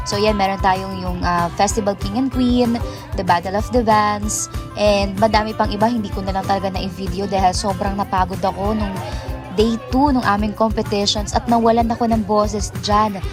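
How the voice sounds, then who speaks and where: A Filipino woman gives a casual vlog voice-over. Her voice sits at a medium pitch and she talks in a chatty, effusive way. Music plays clearly underneath her. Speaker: vlogger narrating an event recap. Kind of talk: casual vlog voice-over mixing Tagalog and English.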